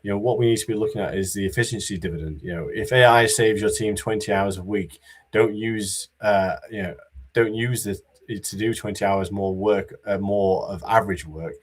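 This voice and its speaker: A man giving a conference talk about business readiness, in a monotone voice with many fillers and restarts. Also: fairly low pitch, normal speed. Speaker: conference speaker.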